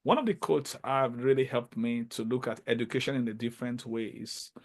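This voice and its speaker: A man hosting an interview podcast, speaking with enthusiasm and emphasis, often halting and repeating words. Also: mid-range voice. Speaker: podcast host.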